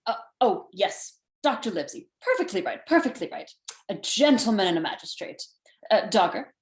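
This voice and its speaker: A woman playing a fussy, officious male sergeant, halting and repetitive, in a radio play reading with room echo. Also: medium pitch, expressive, natural voice. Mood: officious, flustered. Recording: echoey room on video call.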